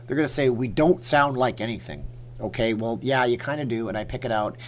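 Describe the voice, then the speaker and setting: A man's voice: mid-range voice, fairly quick, a little hiss or hum. A middle-aged man from Canada hosting a casual livestream, telling a rambling story and talking straight to his chat. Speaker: livestream host.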